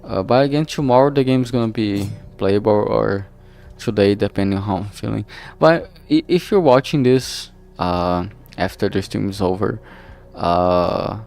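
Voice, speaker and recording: Low, creaky-voiced man chatting on stream. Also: a little mellow. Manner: halting, distracted, trailing off mid-sentence. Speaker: streamer and visual novel creator. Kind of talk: casual solo livestream chat while working. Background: background music.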